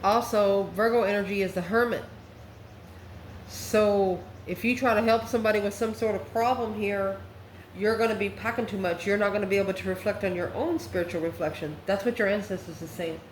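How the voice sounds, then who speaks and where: A woman's voice: mid-range voice, fairly even intonation, a little fast. A woman giving a casual, mystical tarot reading, speaking directly and affectionately to the listener as host. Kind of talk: casual spoken tarot card reading to camera. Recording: echoey room, clearly noisy background.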